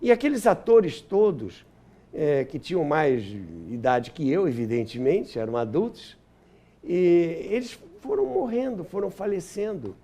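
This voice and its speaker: A man's voice: fairly high pitch, very animated, measured pace, dark tone. An older man with a Brazilian accent, reminiscing as an interview guest and searching for words here and there. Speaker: interview guest, historian and author.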